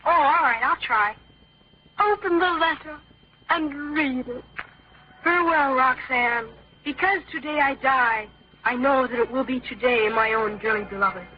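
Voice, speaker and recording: A man's voice: very high-pitched, unhurried. A young male actor playing an earnest, bashful, hesitant thirteen-year-old boy in an old-time radio drama. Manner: hesitant, eager. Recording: dull old radio recording.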